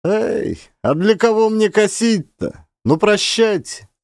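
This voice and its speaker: Man playing a brusque, lively-pitched burly peasant. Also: slow pace, no echo, clean studio sound, exaggerated voice. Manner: blunt, offhand. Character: gruff, brusque, burly male peasant loafer.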